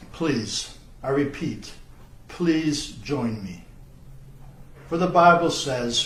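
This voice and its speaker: A man reads out a formal statement in a mid-range voice. His delivery is solemn and measured, with slow, deliberate pacing. The room around him echoes clearly. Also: mid-range voice. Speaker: self-described whistleblower. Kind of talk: scripted video statement.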